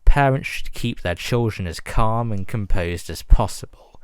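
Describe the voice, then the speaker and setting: A man reading a scripted emergency broadcast announcement in a formal, measured and grave manner. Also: on the low side, smooth, no echo, studio quality.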